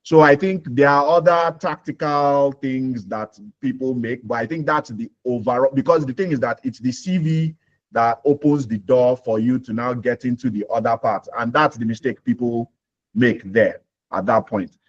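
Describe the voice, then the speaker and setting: A man's voice: mid-range voice, moderate pace, highs cut off. A Nigerian man hosting a live career Q&A webinar, explaining with homely examples and checking that listeners follow. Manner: explains with homely examples, repeats points, asks "you understand?". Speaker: webinar host.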